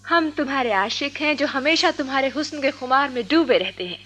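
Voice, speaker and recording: High, bright, theatrical playful girl. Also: a little fast. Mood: mock-poetic, playful. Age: young adult. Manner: teasing, mock-poetic, dramatic. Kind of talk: Hindi radio play acting.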